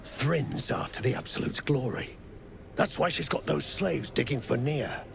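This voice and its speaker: A man playing a crude, greedy mercenary slave-driver in a video game, with a rough, taunting, colloquial way of talking. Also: medium pitch, measured pace, music in the background. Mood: sly, conspiratorial.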